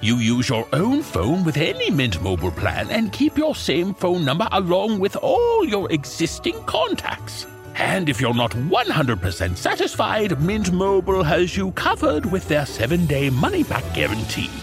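A man's voice: high-pitched, huge pitch swings, moderate pace, full character voice. A man playing a jolly Santa Claus in a scripted, theatrical, salesy podcast advertisement. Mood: jolly salesmanship. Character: jolly Santa Claus pitching a holiday deal. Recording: music bed behind the voice.

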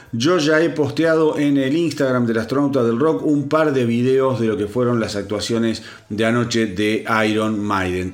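A man hosting a rock news podcast, congested and hoarse, talking casually with lots of fillers and restarts. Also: medium pitch. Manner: chatty, with many fillers and restarts. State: congested, sore throat. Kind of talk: solo news commentary.

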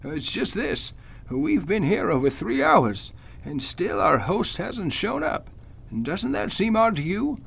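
An older man playing a gentlemanly, earnest veteran judge with a gravelly, husky voice in an old-time radio drama. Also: high voice, very animated, unhurried, exaggerated voice. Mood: suspicious, urgent. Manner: earnest, old-fashioned formal speech. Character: genial but suspicious veteran male judge, gentlemanly.

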